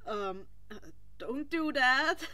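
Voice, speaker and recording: A woman voicing a guarded, sullen, self-doubting teenage boy in an audiobook story, blunt and halting. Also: high-pitched, lively intonation, bright, forceful tone, full character voice. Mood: disbelieving, awkward. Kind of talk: expressive audiobook character reading.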